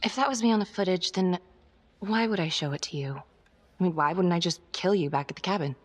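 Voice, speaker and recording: A woman's voice: lowish voice, fairly quick, close to an everyday voice. A woman playing a tough, sardonic homicide detective in a naturalistic crime-thriller game, with a blunt, muttering delivery. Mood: defensive.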